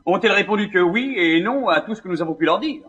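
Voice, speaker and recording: An actor playing a vain, scornful young gentleman in a classical French stage comedy, declaiming with indignation. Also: high voice, lively intonation, fast talker, muffled recording. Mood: indignant.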